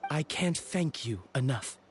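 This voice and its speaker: A man's voice: medium pitch, lively intonation, measured pace, soft background music, close to an everyday voice. A man playing a grateful, humble, shaken caravan trader in a video game. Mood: relieved, grateful. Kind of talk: scripted game voice acting.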